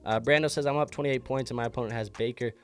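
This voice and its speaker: A man's voice: medium pitch, fairly even intonation, fast, faint music behind. A man hosting a fantasy football show, congested from an illness, talking through a casual sports recap with a loose, chatty delivery and frequent restarts.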